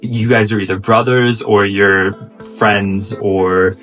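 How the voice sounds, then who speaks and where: American guy in his 30s, lowish voice, smooth easy chat, little music under it. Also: takes it slowly. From United States. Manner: fluent, easygoing, relaxed storytelling. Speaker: co-host. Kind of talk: casual chat.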